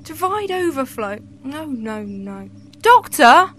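Woman doing a sardonic Time Lady, high lively voice, crisp scolding, faint music behind. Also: slow, full character voice. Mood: impatient. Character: brisk, impatient female Time Lady companion.

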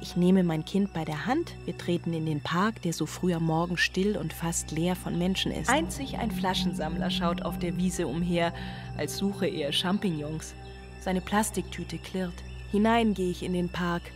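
A woman's voice: fairly low pitch, normal speed, natural voice. An actress playing a strained, dreamily euphoric single mother in a radio drama, speaking in poetic, incantatory repetitions. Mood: tender, dreamy. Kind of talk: German radio drama monologue. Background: music.

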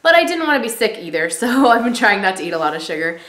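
A woman in her thirties giving a casual vlog update, chatty with many ums and likes, a little stuffy from a cold and clearing her throat. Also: medium pitch, sing-song, quick pace, a bit bright. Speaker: vlogger. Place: small room indoors. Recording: roomy echo.

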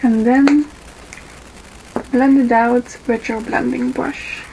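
Slow-talking Dutch-accented young woman. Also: a little high, steady tone, gravelly, low-quality recording. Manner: unhurried, with frequent ums and pauses while applying makeup. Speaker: beauty vlogger. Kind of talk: makeup tutorial. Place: small bedroom indoors. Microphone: webcam in front of her.

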